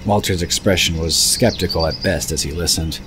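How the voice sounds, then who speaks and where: A man with a deep, low voice narrates a scripted fantasy audio drama. He tells the story in the third person, with birds and crickets clearly audible behind his voice. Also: moderate pace. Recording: background noise of birds and crickets.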